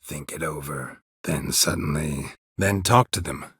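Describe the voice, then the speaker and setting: An actor playing a stoic, world-weary monster hunter in a fantasy video game, gruff and gravelly, with a dry, deadpan, clipped delivery. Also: male voice, measured pace, no echo, heavily acted. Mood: dry, matter-of-fact. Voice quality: gravelly, husky low voice.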